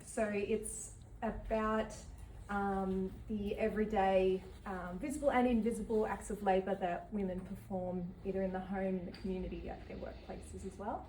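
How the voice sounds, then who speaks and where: An Australian woman in her thirties from New South Wales gives an unscripted artist talk, halting often with ums. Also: medium pitch, flat delivery. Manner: halting, with many ums and soft laughs. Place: gallery event space with an audience. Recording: roomy, from some distance, faint background noise.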